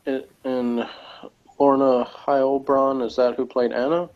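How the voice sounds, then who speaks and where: A man with a mid-pitched voice talks casually as a co-reviewer. His sentences are tentative and meander along. The sound is dull and lo-fi, as on an online call. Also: little pitch movement, slow. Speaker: co-host or guest reviewer.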